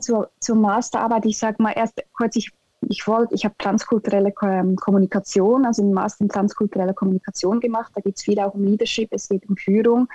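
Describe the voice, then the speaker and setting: A woman's voice: on the high side, dark tone, no echo, muffled recording. A young woman from Switzerland with a Swiss German accent, giving thoughtful, hesitant interview answers with many fillers. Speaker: interview guest, integration specialist.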